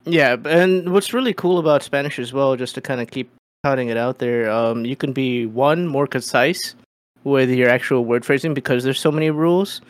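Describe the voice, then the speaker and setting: Man, mid pitch, rambling podcast chat, lots of fillers, dry close sound. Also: moderate pace, no echo. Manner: loose, rambling, lots of "like" and "you know". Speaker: podcast co-host. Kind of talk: casual chat.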